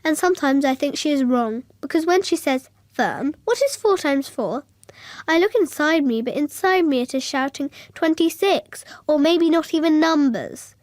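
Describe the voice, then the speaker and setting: A woman's voice: sits high, lively intonation, normal speed, darkish tone, dry recording, clean studio sound. A female performer playing an imaginative, earnest little schoolgirl in a radio drama, rambling and literal-minded as she talks and narrates.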